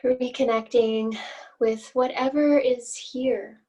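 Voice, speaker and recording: Female meditation guide, higher-pitched and very unhurried, gently inviting, heard a little way off the mic. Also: fairly even intonation. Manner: gentle invitational phrasing with long pauses. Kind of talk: guided meditation, slow and instructional. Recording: voice distant from the mic.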